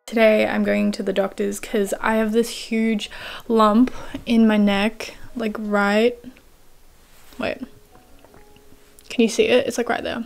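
A woman's voice: medium pitch, flat delivery, slow pace, gravelly, long pauses, soft background music. A young woman in her twenties chatting casually to a phone camera, full of fillers, filming a personal vlog from her car and bedroom. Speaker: vlogger. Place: car, bedroom and hospital room. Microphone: phone camera at arm's length.

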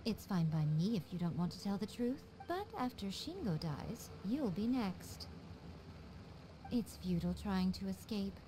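An actress playing a sly, teasing fox shrine priestess who coolly threatens. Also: female voice, medium pitch, big pitch swings, a little slow, mellow, slight background noise, poor audio. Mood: menacing.

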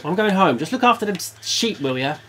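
A man's voice: high-pitched, animated, brisk, sharp tone, slight background noise. A man voicing a grumbling, mock-grumpy shepherd's father in a game story. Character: grumbling bored middle-aged shepherd's father. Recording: game music in background.

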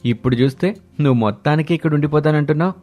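A man playing a surprised, mildly teasing young village-born husband and son in an animated story. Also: fairly high pitch, racing, natural voice. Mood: amazed. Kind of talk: animated moral-story voice acting. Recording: music bed under the voice.

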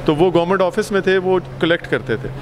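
Young pakistani guy explaining stuff in an interview, highish voice, english mixed in, noisy hall. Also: expressive, quick pace. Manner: mixes in English phrases. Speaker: art gallery CEO, interviewee. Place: indoor expo art stall. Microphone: handheld reporter microphone. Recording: noisy exhibition hall ambience.

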